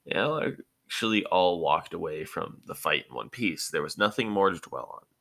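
Deep, husky male story narrator. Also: lively intonation, normal speed, bright, forceful tone. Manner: stumbles and corrects himself while reading. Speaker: narrator of a fan fiction podcast.

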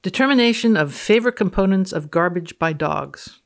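A woman's voice: lowish voice, lively intonation, normal speed, creaky. A woman physicist appearing as a podcast guest, reading research aloud and chatting in a dry, matter-of-fact way. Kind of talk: dramatic reading and banter on a podcast.

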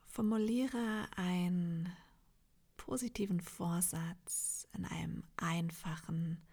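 A woman leading a guided meditation in a soft, half-whispered, soothing voice, leaving long pauses between phrases. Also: on the low side, steady tone, husky. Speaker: yoga nidra meditation guide.

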